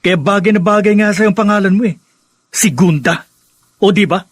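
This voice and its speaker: Bitter, high-voiced, husky young son. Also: male voice, normal speed, dry recording. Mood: accusing, sarcastic. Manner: sarcastic, confrontational, switches into English. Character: bitter, defiant grown son rejecting his new stepmother. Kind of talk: radio drama acting.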